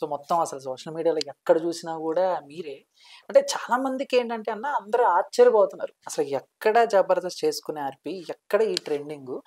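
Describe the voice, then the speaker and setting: A man's voice: sits high, fairly quick, darkish tone, a little hiss or hum. Man interviewing in a conversational manner. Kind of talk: casual chat interview.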